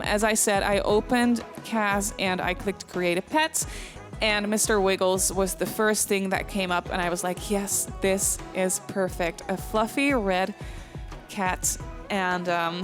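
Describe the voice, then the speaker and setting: Chatty young woman with a Dutch accent. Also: mid-range voice. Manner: soft, chatty, rambling with many ums and small laughs. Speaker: Sims builder and YouTuber. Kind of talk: casual voice-over commentary on a speed build.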